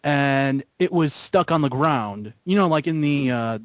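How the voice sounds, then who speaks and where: Man, fairly high voice, dry recording, casual conversational gamer talk. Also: lively intonation, a little slow, no room sound. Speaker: member of a gaming group. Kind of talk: casual group chat about video games.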